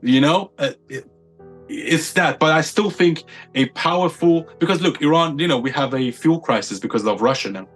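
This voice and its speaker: A man's voice: a little high, moderate pace, fairly bright tone, faint music behind. A man from the United Kingdom, a podcast guest giving his opinions in casual chat, stacking up points with repeated phrases.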